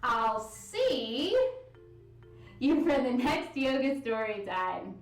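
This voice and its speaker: A woman with a high, very lively voice guides little kids through a story and movement lesson. She gives simple, encouraging, sing-song prompts and leaves room to copy her. She is a little away from the mic in an echoey room. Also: slow, soft background music. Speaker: children's yoga and story time host. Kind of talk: interactive read-aloud and movement lesson for young children. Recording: roomy echo, distant mic.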